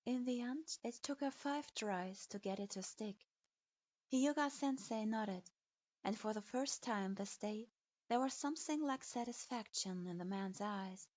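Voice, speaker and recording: A woman reading a fan fiction story aloud in an even storytelling manner. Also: on the high side, measured pace. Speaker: story reader. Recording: dull lo-fi.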